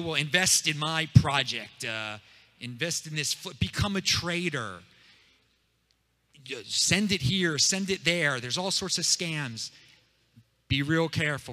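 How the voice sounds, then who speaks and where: Loud, pumped-up man in a reverberant room. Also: fairly high pitch. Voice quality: loud, energetic. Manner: hyped, pumped-up delivery. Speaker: speaker at a meetup, channel host. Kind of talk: live talk to a crowd. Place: meetup room.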